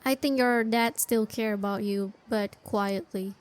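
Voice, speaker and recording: A woman's voice: mid-range voice, steady tone, unhurried, a little hiss or hum. A woman giving casual playthrough commentary as she reacts to a game's story. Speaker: gaming streamer reacting to a game.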